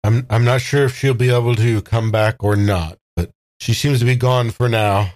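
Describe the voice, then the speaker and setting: A man playing a wary, grimly calm older man in a younger body in a horror tabletop game, speaking slowly and deliberately. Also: medium pitch, no room sound, natural voice. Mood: grave, calm. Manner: slow, deliberate.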